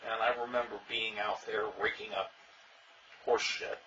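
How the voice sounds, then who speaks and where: An older man, interviewed about his early days in a reenactment society, telling stories with dry, plainspoken humor and a loud voice. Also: fairly low pitch, flat delivery, moderate pace, distant mic. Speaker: interviewee, former king of a historical reenactment society. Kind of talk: casual storytelling interview. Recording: dull sound, faint noise, from off mic.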